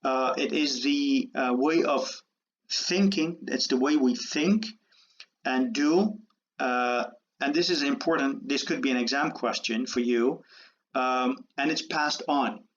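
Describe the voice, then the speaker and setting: A man born and raised in the Netherlands giving a university lecture, halting with many fillers and restarts. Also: medium pitch. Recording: dull lo-fi lecture audio.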